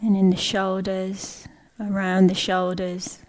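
A woman leading a guided meditation, speaking gently in short, unhurried phrases with long pauses. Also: mid-range voice, monotone. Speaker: meditation teacher.

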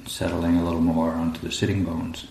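Male meditation teacher: very flat, low delivery, slow and calm with long gaps, recorded in a reverberant room. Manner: calm, unhurried, long pauses between phrases. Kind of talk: guided meditation. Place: indoor room at a group retreat. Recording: roomy echo, faint low rumbling noise.

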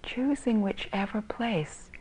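A woman teaching meditation in a soft, breathy, husky voice, calmly and gently guiding with long pauses between phrases. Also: medium pitch, rough recording. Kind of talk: guided meditation.

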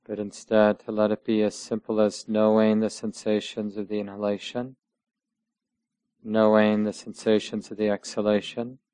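A man teaching meditation, guiding listeners gently in measured short phrases with long pauses between them. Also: on the low side, little pitch movement, clean tone, no room sound. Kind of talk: guided meditation instruction.

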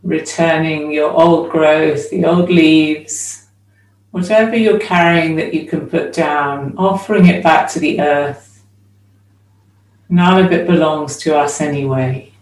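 Slow-paced woman guiding meditation in a roomy space. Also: low voice, steady tone, a little mellow. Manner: measured, with long pauses between short phrases. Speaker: meditation teacher. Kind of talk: guided meditation, slow spoken instruction. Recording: roomy echo, distant microphone.

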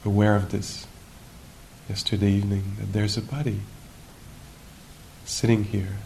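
A man leading a guided meditation as a teacher at a retreat, speaking in an unhurried, musing way with gentle questions and long pauses. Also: flat delivery, vocal fry. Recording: noisy live recording with low rumble.

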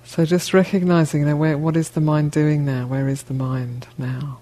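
A woman teaching a guided meditation, speaking gently and unhurriedly in short phrases with long pauses between them. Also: sits low, flat delivery.

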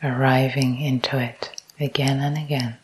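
A woman teaching a guided meditation, speaking softly and calmly in short repeated phrases, often close to a whisper. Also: a bass voice, moderate pace, noisy background. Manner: soft, breathy, often near-whispered delivery. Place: meditation retreat talk.

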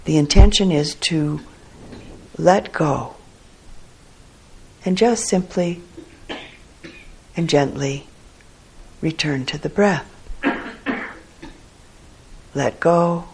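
Slow, gravelly, husky-voiced woman meditation teacher. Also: low-pitched. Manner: gentle, unhurried, phrases broken by long pauses. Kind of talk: guided meditation instruction. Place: meditation hall.

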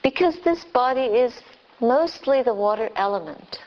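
Woman, meditation teacher, higher-pitched voice, gentle delivery, long pauses, slightly muffled lo-fi recording. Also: expressive. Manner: gentle, unhurried, long pauses between short phrases. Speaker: Buddhist nun, meditation teacher. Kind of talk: guided meditation.

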